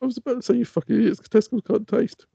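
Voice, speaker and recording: Husky, mellow man bantering. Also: high voice, fairly even intonation, rapid-fire, no room sound, dull audio. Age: 30s. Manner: blunt, sweary, joking. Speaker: podcast co-host. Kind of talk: comedic casual banter.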